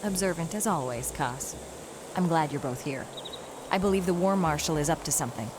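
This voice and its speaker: Deep-voiced, wry young warrior woman. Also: lively intonation, brisk, background noise. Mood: wry, suspicious. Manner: wry, dry, pointed. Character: sharp-tongued, suspicious young female warrior. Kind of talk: scripted fantasy game acting.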